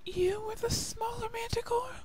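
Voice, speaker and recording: A man voicing a young female unicorn filly in a dramatic reading, frightened but brave and crying out with high drama. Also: steady tone, normal speed, darkish tone. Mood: worried.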